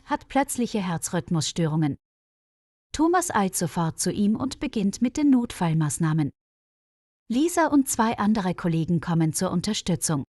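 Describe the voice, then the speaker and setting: A woman reading a scripted story for language learners, articulating clearly. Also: mid-range voice, a little mellow, no room sound, clean studio sound. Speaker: narrator.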